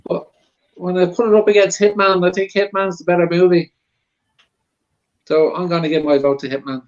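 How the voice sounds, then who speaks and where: A man's voice: sits high, level tone, normal speed, dull audio. A man chatting casually as a panel guest, giving his opinions on films. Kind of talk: casual film debate.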